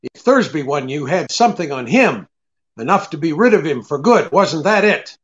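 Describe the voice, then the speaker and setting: A man plays a tough, shrewd private detective in a radio drama. His fairly high voice moves around a lot, clipped and hard-boiled, on slightly muffled audio. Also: animated, moderate pace, close to an everyday voice. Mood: hard, accusing. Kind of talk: old-time radio drama acting.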